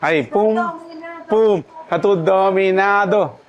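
Chilean man, podcast guest, lively and slangy, fairly high voice. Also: takes it slowly, lo-fi sound. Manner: lively, laughs often, slangy. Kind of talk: casual chat.

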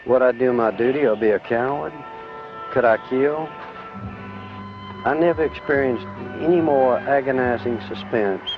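A man giving a scripted, first-person memoir reading with grave, literary phrasing. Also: medium pitch. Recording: dull old film soundtrack.

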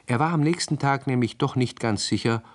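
A man's voice: mid-range voice, brisk. A man narrating a story for a 1960s radio play in scripted storybook style.

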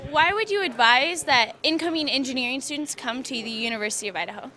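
A woman's voice: sits high, animated, quick pace, bright, forceful tone, slight background noise. A woman in her twenties working as a student interviewer, asking prepared questions into a handheld microphone. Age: 20s. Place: large glass-walled university hall or lobby. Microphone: handheld interview microphone at arm's length.

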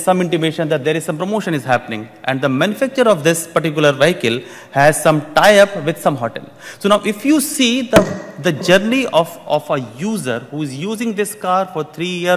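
Man giving a formal keynote in a reverberant hall. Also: high-pitched. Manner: formal, repeats words when restarting phrases. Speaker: keynote speaker. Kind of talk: prepared conference keynote. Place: large conference hall, at a podium. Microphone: podium microphone.

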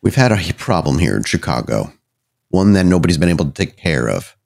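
A man voicing a composed, powerful vampire prince in a tabletop game, measured and formal with a subtle playfulness. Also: sits low, big pitch swings, creaky, a little mellow, pitched below the performer's own voice, heavily acted. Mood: grave, businesslike.

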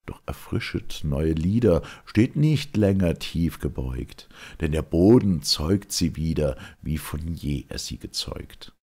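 A man's voice: very deep voice, animated, takes it slowly, hardly any pauses, a little mellow, slightly rough. A man giving a theatrical, declamatory reading of dramatic verse. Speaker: reader.

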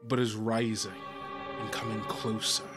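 A man narrating a tabletop game as game master, in short deliberate phrases that build suspense. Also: fairly low pitch, level tone, music in the background.

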